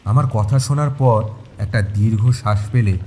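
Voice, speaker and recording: Male narrator for a horror audio story: fairly deep voice, first-person telling, rain sounds behind. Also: moderate pace, a little mellow, slight background noise.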